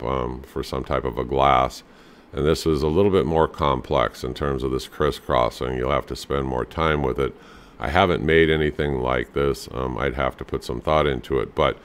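Middle-aged male DIY host, very low voice, easygoing and plain-spoken, filler-heavy delivery. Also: clear, resonant tone. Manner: relaxed, plain-spoken, fillers like "you know" and "um". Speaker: home handyman host. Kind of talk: casual instructional talk over photos.

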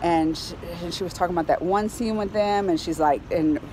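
A middle-aged woman giving a casual, excited review to camera in an animated, colloquial way. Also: fairly low pitch, fairly quick, low-quality recording. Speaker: vlogger reviewing a film. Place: outdoors on a high-rise terrace in a city. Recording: clear outdoor ambient city noise.

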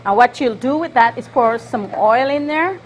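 A middle-aged woman casually demonstrating a recipe, explaining it in a hesitant, halting way. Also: a little high, lively intonation, a little hiss or hum. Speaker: guest cook demonstrating a recipe. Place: TV kitchen studio set.

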